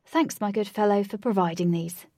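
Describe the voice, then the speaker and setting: A woman voicing a calm young male leader who speaks in a measured, slightly formal way. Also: medium pitch, dry recording, close to an everyday voice.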